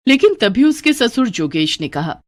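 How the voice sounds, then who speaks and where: A woman narrating a children's cartoon moral story in steady, simple scripted storytelling. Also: fairly high pitch, normal speed.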